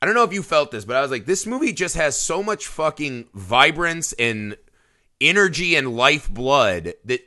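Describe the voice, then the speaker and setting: A man hosting a casual film podcast, excitable and quick to laugh, with frequent restarts. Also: fairly high pitch. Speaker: podcast host.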